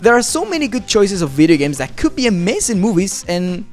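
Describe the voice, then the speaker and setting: Lively video essayist over background music. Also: medium pitch, brisk. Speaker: video essay host and reviewer. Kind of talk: scripted video essay with casual joking asides.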